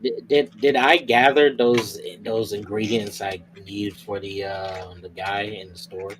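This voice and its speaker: Low-voiced man on a very noisy mic. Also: fairly even intonation, unhurried. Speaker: tabletop roleplay player. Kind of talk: casual game questions. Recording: very noisy microphone.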